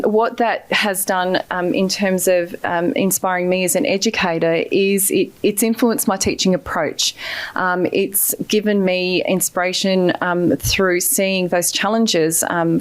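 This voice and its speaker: Brief: middle-aged Australian woman, low voice, earnest acceptance speech at a podium mic, halting fillers. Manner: earnest, grateful, many hesitant fillers. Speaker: award recipient giving acceptance speech. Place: ceremony hall.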